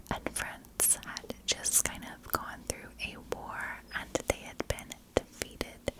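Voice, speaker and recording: A woman hosting a storytelling channel in a soft ASMR whisper, with gentle, deliberate phrasing. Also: rough recording. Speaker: host of a spooky storytelling channel.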